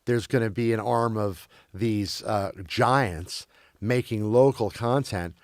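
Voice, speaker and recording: A man's voice: on the low side, slow, darkish tone, no room sound, studio quality. A man who grew up in Belgium, talking as a guest in a casual conversation, often stopping to restart and repeat his words. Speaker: interview guest.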